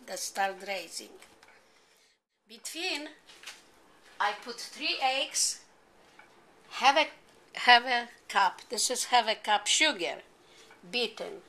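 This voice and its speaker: Older female home cook, Polish accent, high bright voice, halting English, informal recipe demo on a noisy amateur video. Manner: simple halting phrases in non-native English. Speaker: home cooking show host.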